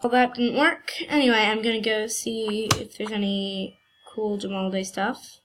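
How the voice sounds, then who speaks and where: A woman's voice: medium pitch, steady tone, a little slow, a bit bright, a little hiss or hum. A woman with a cold gives rambling, hesitant commentary while playing a computer game, with faint game music behind her. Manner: hesitant and rambling, many fillers. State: has a cold. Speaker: gaming channel host. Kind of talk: casual gameplay commentary. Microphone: computer screen recording.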